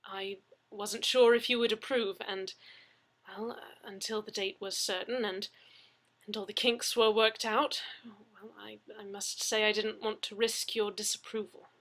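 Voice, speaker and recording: A woman plays a bashful Englishman from a storybook, bright in tone. He is polite and embarrassed, halting and stammering as he explains himself. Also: mid-range voice, level tone. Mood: sheepish, hesitant. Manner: hesitant, stammering. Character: shy, awkward, polite English man, embarrassed.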